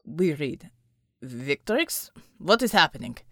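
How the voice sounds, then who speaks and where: A gruff, battle-weary male soldier from an action audiobook, voiced by a woman. The voice is pushed low and kept terse, with a very dry sound. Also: big pitch swings, slow, fairly bright tone, no echo, heavily acted.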